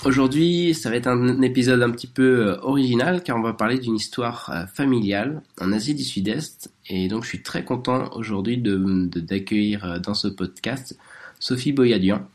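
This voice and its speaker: A man's voice: medium pitch, normal speed. A man hosting a conversational podcast interview, forming his questions hesitantly with repeated words. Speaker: podcast host.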